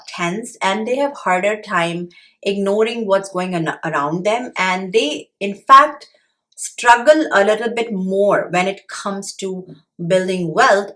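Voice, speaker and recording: A woman's voice: mid-range voice, a bit bright. A middle-aged woman from India with an Indian accent, explaining personal finance straight to camera in an earnest, patient way. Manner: earnest, explanatory, with occasional pauses and fillers. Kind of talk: direct-to-camera talk.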